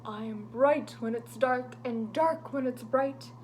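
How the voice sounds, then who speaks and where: A woman reading as a slow, solemn sphinx. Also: a little high, a little mellow, slight background noise. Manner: slow, measured riddle delivery. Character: solemn female sphinx posing a riddle.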